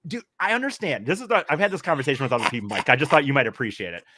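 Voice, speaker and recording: A man co-hosting a podcast, telling stories casually in a loud, animated way. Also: mid-range voice, racing, dry recording. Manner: loud-voiced, animated storyteller.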